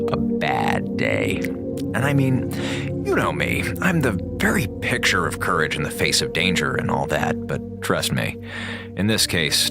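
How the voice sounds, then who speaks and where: Wry space station log keeper, low pitch, lively melodramatic delivery, chatty, radio drama. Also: male voice, vocal fry, full character voice. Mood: wry, grumbling. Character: wry, slacker space station communications officer keeping an audio log. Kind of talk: radio drama acting as a conversational monologue.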